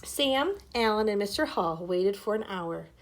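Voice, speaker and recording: A woman's voice: medium pitch, expressive, measured pace. A woman reading a scripted children's story aloud as narrator. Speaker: storybook reader.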